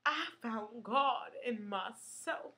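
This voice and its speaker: A young woman delivering a theatrical poetry monologue with dramatic, emotional, deliberate phrasing. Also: on the high side, pushed and bright. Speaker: actress performing monologues.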